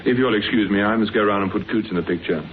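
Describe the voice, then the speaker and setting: An actor with a British accent playing a brisk, dry-witted, commanding private detective in a vintage radio drama. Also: male voice, fairly low pitch, close to an everyday voice. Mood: pleased, brisk. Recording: dull lo-fi old radio, faint hiss.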